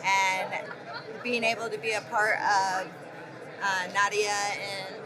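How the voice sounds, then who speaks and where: A woman in executive protection with a medical background, giving brief, steady interview answers. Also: mid-range voice, takes it slowly, a crowd in the background, low-quality recording.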